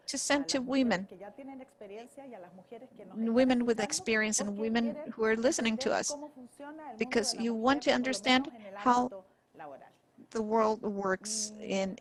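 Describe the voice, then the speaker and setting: A woman interpreting forum talks, speaking in short halting phrases. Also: mid-range voice.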